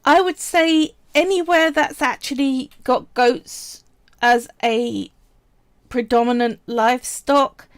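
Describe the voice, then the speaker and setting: Woman, high voice, slow unhurried delivery, chatty craft tutorial, dry close sound. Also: steady tone, no echo. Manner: chatty and explanatory, often pausing to say "right" and "so". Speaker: polymer clay tutorial streamer. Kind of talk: casual live-stream craft tutorial.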